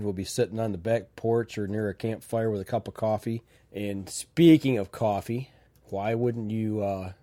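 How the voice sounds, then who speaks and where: A man's voice: fairly low pitch, warm tone. A man hosting a hunting podcast from a cabin, chatting casually while fighting a head cold. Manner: laid-back, reads sponsors and viewer comments. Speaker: podcast host. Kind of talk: casual chat.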